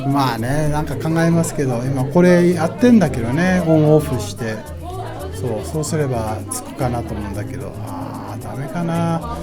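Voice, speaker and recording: A woman's voice: rumbling low, lively intonation, quick pace. A woman with a Japanese accent, a native Japanese speaker, chatting with short interjections as host of a casual live radio interview. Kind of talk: casual live radio interview, switching between two languages. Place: busy market pop-up event. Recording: background music and crowd chatter at live event. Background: music, crowd.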